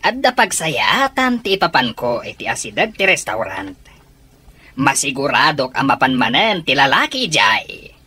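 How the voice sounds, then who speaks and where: A scheming car-thief boss in a radio comedy. The voice is deep for a woman, bright and hard-edged, with animated, commanding delivery. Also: measured pace, slightly rough, heavily acted. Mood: urgent, eager. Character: scheming bossy leader of petty car thieves. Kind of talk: radio comedy drama acting.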